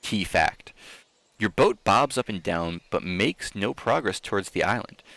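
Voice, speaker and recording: Deep creaky man reading theatrically. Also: a little slow, dry recording. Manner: theatrical, expressive delivery. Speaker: streamer host reading aloud. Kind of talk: dramatic story reading with casual asides.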